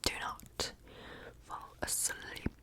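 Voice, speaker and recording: Young female ASMR whisperer, close-mic, repetitive trigger words, casual and playful. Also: drawn-out words. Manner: whispered, soft and rambling, repeating words over and over; playful, chatty asides to viewers. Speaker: ASMR creator.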